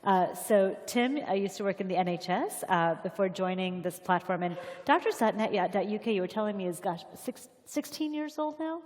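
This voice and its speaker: A woman hosts a conference session from the stage. She has a mid-pitched voice and speaks off the cuff, conversational and halting. The hall leaves a long reverberant tail on her words. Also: expressive, darkish tone. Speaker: session host introducing a speaker. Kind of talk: spontaneous stage introduction.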